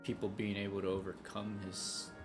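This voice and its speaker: A man's voice: fairly low pitch, monotone, moderate pace. A man chatting casually as a game streamer, slangy and hesitant, with game music behind him. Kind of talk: casual live-stream chat.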